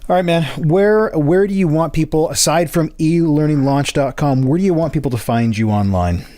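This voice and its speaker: A man's voice: on the high side, moderate pace, warm tone. A man hosting a casual podcast interview, friendly and enthusiastic, often calling his guest "man". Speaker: podcast host.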